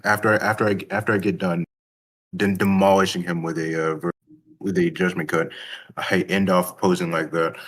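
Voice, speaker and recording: A man with a low voice talks casually as a player in a tabletop game. He speaks haltingly, thinking out loud. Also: little pitch movement.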